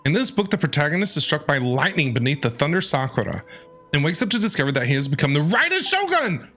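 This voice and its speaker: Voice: medium pitch, sing-song, quick pace, fairly bright tone, faint music behind. A game streamer giving casual, playful commentary, reacting to characters and reading dialogue aloud.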